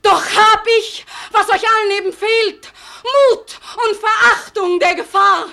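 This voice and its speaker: A woman's voice: very high-pitched, fairly even intonation, a little slow, vocal fry, heavily acted. A woman playing a fervent young heroine in a 1940s radio play, declaiming classical verse-like lines with great passion. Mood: pleading, passionate. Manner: impassioned, declamatory, dramatic shifts from hush to cry. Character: fervent young woman rallying townsfolk to save her beloved.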